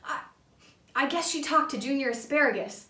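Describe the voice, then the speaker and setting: Storybook young male pea, read by a woman: anxious, flustered, high and bright, slightly roomy sound. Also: normal speed, far from the mic. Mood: nervous, defensive. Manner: breathless, flustered, confessional. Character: Percy, sputtering anxious young male pea, later contrite.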